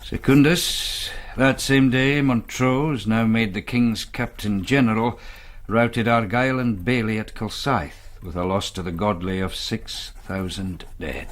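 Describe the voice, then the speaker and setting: An actor playing a troubled, bookish young Presbyterian minister in a period radio drama, speaking in formal, scholarly, archaic phrases. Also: male voice, on the low side, measured pace, natural voice. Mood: weary, reflective.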